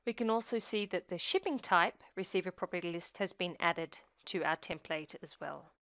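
A woman presenting a software tutorial in scripted screencast narration, giving measured step-by-step instruction. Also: lowish voice, dry recording, clean studio sound.

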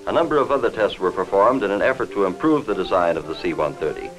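A man with an American accent narrates a 1950s industrial film in a fairly low voice. His delivery is formal, measured and factual. It sounds like a vintage film soundtrack, with faint music behind him. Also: expressive, low-quality recording. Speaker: newsreel narrator.

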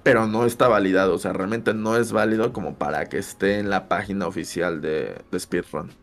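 A man in his thirties, a speedrunner guest talking in a relaxed, self-deprecating way. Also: deep voice, a little fast. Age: 30s. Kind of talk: casual chat.

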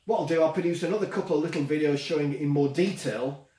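A man's voice: fairly high pitch, fast, dark tone. A middle-aged man gives a casual, unscripted product demonstration in a plain-spoken, explanatory way. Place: small office workshop, indoors. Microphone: camera a few metres away. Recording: roomy echo, distant camera microphone.

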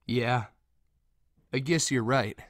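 A man's voice: medium pitch, takes it slowly, no echo, studio quality. A man playing a brooding, self-doubting young college student in an audio drama, subdued and hesitant.

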